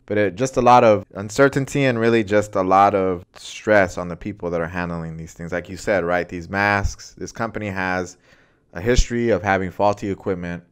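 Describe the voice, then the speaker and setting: Clear, low-voiced American man discussing news. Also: fairly even intonation, moderate pace. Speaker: podcast co-host. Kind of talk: conversational news commentary.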